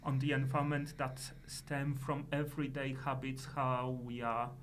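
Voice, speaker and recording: A middle-aged man from Poland with a Polish accent, answering interview questions as a sustainability manager in halting, measured phrases. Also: medium pitch, little pitch movement, fairly bright tone, slight background noise.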